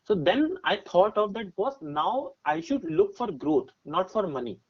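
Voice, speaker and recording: Man in his twenties, Indian accent, slightly high voice, conversational advice, emphatic explaining, muffled lo-fi audio. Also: sing-song, a little slow, slightly rough. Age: 20s. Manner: emphatic, explanatory, often checking with "right?". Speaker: podcast guest giving career advice. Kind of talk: conversational advice with personal examples.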